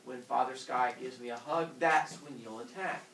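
Storyteller as calm, bossy Mother Earth in roomy echo. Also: medium pitch, moderate pace, distant mic, a little hiss or hum, natural voice. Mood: patient scheming.

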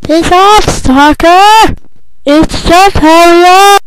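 A woman's voice: very high voice, takes it slowly. A woman voicing a hot-tempered, melodramatic emo piñata creature in a cartoon parody, crude and exclamatory, on a noisy amateur microphone. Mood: annoyed.

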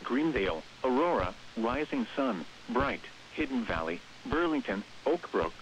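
Over a dull, band-limited radio signal with steady hiss, a man with a mid-pitched, machine-like voice reads weather bulletins. His phrasing is even and impersonal, in the manner of an automated announcer. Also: measured pace. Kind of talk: scripted weather bulletin and warning readout. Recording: hissy, dull radio broadcast with noise.

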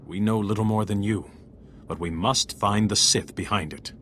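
Male sci-fi councillor, acted, low and grave, deliberate delivery, faint ambient noise. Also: fairly bright tone, natural voice. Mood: sombre. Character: sombre male Jedi Council master. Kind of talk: video game voice acting.

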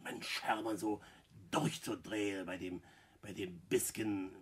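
An actor playing a wily, talkative small-time crook, chummy and evasive, in a 1960s German radio play. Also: male voice, on the low side, fairly even intonation, husky. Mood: mock reproach. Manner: chummy, evasive, halting repetitions. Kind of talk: German radio play acting around 1960.

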